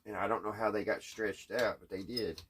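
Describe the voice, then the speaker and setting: Low-voiced man, thinking out loud. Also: fairly even intonation, a little hiss or hum. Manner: halting, puzzled, folksy rambling. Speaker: host of a radio repair hobby channel. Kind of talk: casual hands-on repair chat, thinking out loud.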